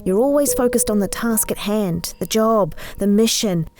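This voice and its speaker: A woman's voice: mid-range voice, normal speed, close to an everyday voice. A woman playing a hero's hurt, exasperated wife in an audio drama, with music behind. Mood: hurt, fed up.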